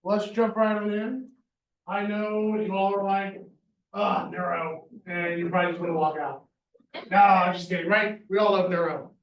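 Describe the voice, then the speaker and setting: Animated man lecturing in an echoey hall. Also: high voice, moderate pace, recorded from a distance, dull audio. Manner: animated, joking, says "y'all" and "right?". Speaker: veterinary neurology lecturer. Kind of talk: university lecture. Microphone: handheld or lapel microphone.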